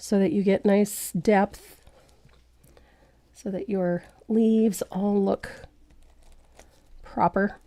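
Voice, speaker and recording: A woman teaches a painting lesson in a mellow, unhurried voice, stuffed up and a little nasal from a cold. She rambles chattily and laughs at herself often. The sound is dry and close. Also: mid-range voice, fairly even intonation, no room sound. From Canada. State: congested from a cold. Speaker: painting instructor. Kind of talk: live painting tutorial, chatty.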